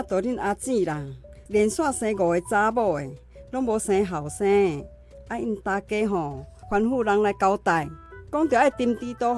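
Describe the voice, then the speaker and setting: A woman's voice: medium pitch, close to an everyday voice. A woman playing a practical middle-aged mother and grandmother in a radio drama, chiding and bossy, with a Taiwanese accent.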